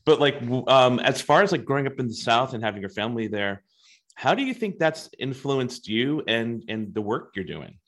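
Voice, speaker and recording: A middle-aged man from the southeastern United States, hosting a casual interview in a friendly, halting way. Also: medium pitch, lively intonation, a bit bright. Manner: friendly, halting, restarts with fillers. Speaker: host.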